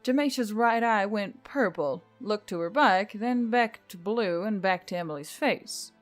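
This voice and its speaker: A woman's voice: medium pitch, a little slow. A woman reading scripted fiction as an audiobook narrator. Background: music.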